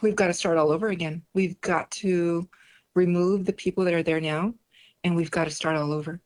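Woman, from Mexico, native Spanish, political candidate, lowish pitch, emphatic, repetitive, campaign interview. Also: fairly even intonation, a little fast, a little mellow.

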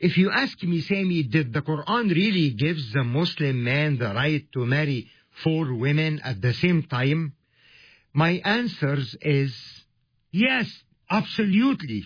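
A man hosting a radio ministry, preaching in a slow, emphatic and earnest way. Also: fairly high pitch, lively intonation, slightly rough, dry recording. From Egypt. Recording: dull lo-fi radio sound.

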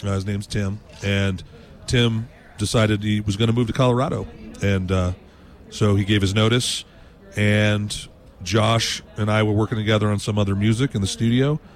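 A man from New England, a blues musician, giving relaxed, rambling answers in a casual interview. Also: on the low side, moderate pace, hardly any pauses.

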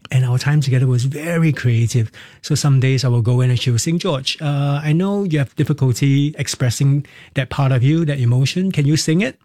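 A middle-aged man, a Cantonese speaker, reflecting on personal experience in a halting, candid way as an interview guest. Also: medium pitch, darkish tone, dry recording. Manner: halting, candid, tags phrases with "right" and "you know". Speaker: interview guest, performer and counselor.

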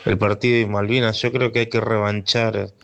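Low gravelly caller. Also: male voice, level tone, normal speed, a bit bright, a little hiss or hum. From Argentina. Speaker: radio caller.

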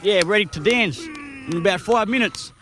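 Easygoing high-voiced dancer. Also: male voice, normal speed, noisy background. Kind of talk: casual shout-out talk.

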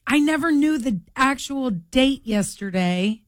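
A woman's voice: high voice, expressive, a little slow, no echo. Woman, late thirties, radio co-host, laughing storyteller, dry studio. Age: late 30s. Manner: laughing, storytelling, quoting herself. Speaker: radio morning show co-host. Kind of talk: casual on-air banter.

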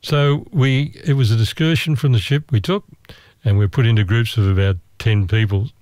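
A man's voice: mid-range voice, steady tone, a little mellow, dry recording. Wry man telling a travel story. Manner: wry, self-mocking, measured. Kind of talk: casual storytelling.

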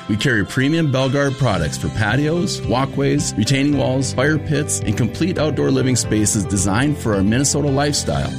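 A man reading a scripted radio commercial with a smooth, steady delivery. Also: mid-range voice. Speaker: ad announcer.

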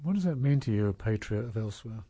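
A man's voice: fairly low pitch, lively intonation, quick pace, mellow, husky. A man interviewing a writer, asking encouraging questions that often end in tag questions.

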